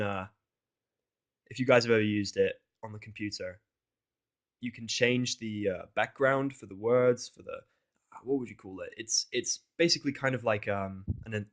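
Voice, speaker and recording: Guy reading fanfic out loud, chatty and kinda exasperated, swears a lot. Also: on the low side. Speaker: fan fiction narrator. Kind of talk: informal dramatic reading with casual asides.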